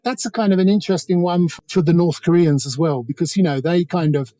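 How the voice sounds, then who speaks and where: A British man talks through politics as an interview guest. His voice sits a little high yet is mellow and dark in tone. He wanders conversationally through asides, in a dry recording. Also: fast, no echo. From Britain. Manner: discursive, hedging with many asides.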